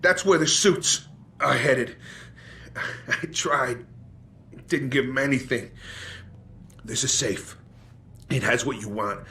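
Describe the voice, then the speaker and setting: A man playing a wounded, bitter investigator in a video game drama, halting and sardonic as he struggles through pain. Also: a little high, pushed and bright, slight background noise. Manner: halting, sardonic, repeating himself. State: in pain, slurring and faltering. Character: gravely wounded, bitter, foul-mouthed older investigator on morphine.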